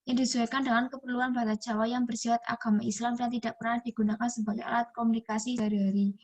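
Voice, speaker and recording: A woman's voice: a little high, level tone, fast, a little mellow. A young woman from Indonesia, a university student reading a class presentation aloud from a text and often restarting words. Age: young adult.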